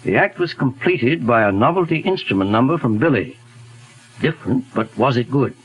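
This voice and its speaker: An actor playing a calm, methodical middle-aged detective in a 1940s radio drama, speaking in a measured, polite way over a hissy old broadcast. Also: male voice, mid-range voice, close to an everyday voice, low-quality recording. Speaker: detective lead.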